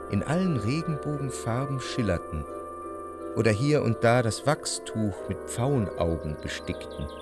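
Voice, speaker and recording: An actor playing a sensitive, refined, melancholic narrator in a literary radio drama, speaking in a measured, lyrical way. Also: male voice, mid-range voice, close to an everyday voice. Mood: dreamy, savouring. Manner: measured, reflective, lyrical delivery.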